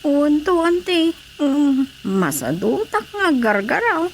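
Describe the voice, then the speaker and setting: A woman's voice: high voice, sing-song, slow pace, a little hiss or hum, full character voice. A woman acting a listless, lazy young wife in a radio drama, evasive, with an Ilocano accent.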